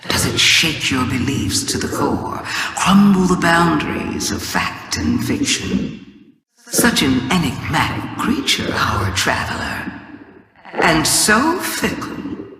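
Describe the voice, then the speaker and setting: A regal alien schemer from a video game, echoes through long, cavernous reverb. The delivery is slow and theatrical, with a sharp, forceful edge and a sly taste for riddles and taunts. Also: mid-range voice, very animated, heavily acted. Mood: sly, taunting. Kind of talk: scripted dramatic game monologue.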